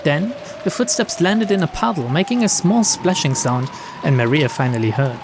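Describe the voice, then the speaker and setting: Expressive high-voiced man reading a visual novel. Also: normal speed, gravelly, noisy background. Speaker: let's play streamer reading a visual novel aloud. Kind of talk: dramatic narration with casual commentary. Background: game music and rain sounds.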